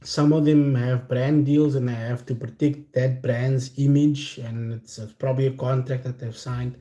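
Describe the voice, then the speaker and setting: A South African man with a Cape Flats accent talks straight to his viewers as a livestream host. His tone is warm and relaxed at a middle pitch, his wording candid and slangy. The room adds a noticeable echo to his voice. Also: moderate pace. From Cape Town, South Africa. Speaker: content creator hosting a chat livestream. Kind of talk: casual, unscripted livestream talk. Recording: roomy, echoing sound.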